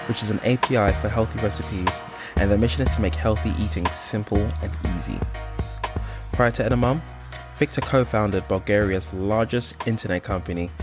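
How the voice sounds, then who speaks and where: Upbeat male podcast host. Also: fairly low pitch. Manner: upbeat, friendly, eager. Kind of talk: scripted podcast intro and interview.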